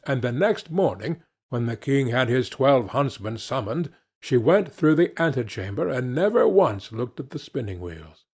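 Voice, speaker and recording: A man's voice: medium pitch, very animated, moderate pace, darkish tone, husky. A man narrating fairy tales from a script as an audiobook reader.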